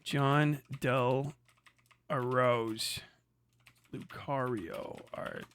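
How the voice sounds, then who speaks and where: A man's voice: mid-range voice, very slow, a bit bright, slight background noise. A young man hosting a casual live stream, reacting with sardonic, profane, incredulous commentary and frequent laughter. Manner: sardonic, swearing, laughing often, incredulous outbursts. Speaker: streamer host.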